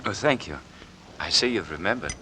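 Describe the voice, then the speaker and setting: A man's voice: sits low, lively intonation, moderate pace, sharp tone, slight background noise. An actor playing a polite, restrained young man out with his mother in a 1940s radio drama. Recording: old radio recording.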